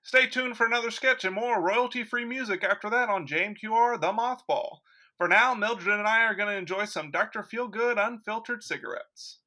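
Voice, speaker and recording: A man's voice: high-pitched, moderate pace, sharp tone. A man playing a chipper old-time radio host with an announcer's showmanship, in 1940s radio style. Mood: chipper, polished.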